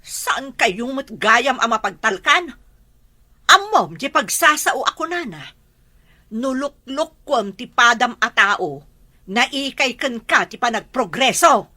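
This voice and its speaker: An actress with an Ilocano accent playing a proud, reproachful elder sister who owns a farm, in a comedy drama. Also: female voice, a little high, big pitch swings, slow pace, pushed and bright, dry recording, heavily acted. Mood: indignant, scolding. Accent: Ilocano, northern Philippines.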